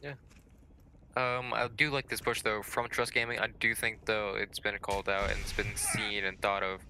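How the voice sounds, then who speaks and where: Man, esports analyst, slightly low pitch, sharp bright tone, conversational, opinionated, live match commentary. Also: flat delivery, normal speed, slight background noise, poor audio.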